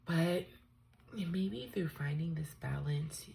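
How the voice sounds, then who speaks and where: A woman with a stuffed-up cold, doing a tarot reading in a soft, often half-whispered, musing way. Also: low voice, slow, vocal fry, slight background noise. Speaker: tarot reader. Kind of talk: unscripted tarot reading for viewers.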